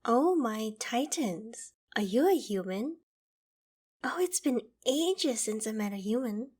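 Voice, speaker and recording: A woman playing an ancient, haughty dragon in a theatrical ASMR roleplay, with deliberate, imperious phrasing. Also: a little high, lively intonation, darkish tone, husky, exaggerated voice. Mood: excited, flustered. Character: ancient female dragon, haughty, menacing, curious about humans. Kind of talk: ASMR roleplay, dramatic monologue to the listener.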